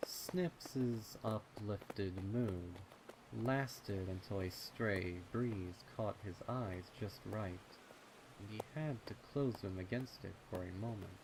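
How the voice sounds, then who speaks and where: A man reading scripted dark fiction as narrator, in a measured, deliberate manner. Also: lowish voice. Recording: noticeable ambient noise behind the voice. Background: ambient sound effects.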